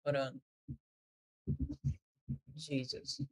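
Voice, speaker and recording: A man's voice: mid-range voice, flat delivery, very slow, dry recording. A man doing casual live gameplay commentary, muttering to himself and swearing freely. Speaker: game streamer. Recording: game music behind the voice.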